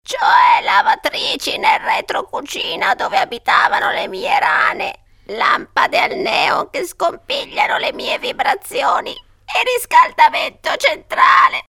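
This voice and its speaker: A man voicing an aggrieved old lady ghost for a storybook, with a shrill, wailing voice and a melodramatic, drawn-out delivery. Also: huge pitch swings, creaky, bright, forceful tone, full character voice. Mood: indignant. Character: aggrieved old lady ghost carrying her severed head. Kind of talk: audiobook character voice.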